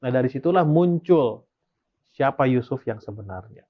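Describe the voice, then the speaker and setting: A man's voice: mid-range voice, animated, unhurried, narrow, tinny audio. A man teaching a Sabbath school lesson, reading passages aloud and then explaining them, often asking a question and answering it himself. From Indonesia. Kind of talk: Bible study lesson.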